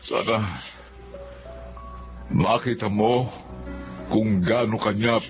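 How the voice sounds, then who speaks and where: A man playing a caring middle-aged uncle in a Filipino radio drama, speaking in an earnest, measured way. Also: on the low side, fairly even intonation, soft background music. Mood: grave, explaining.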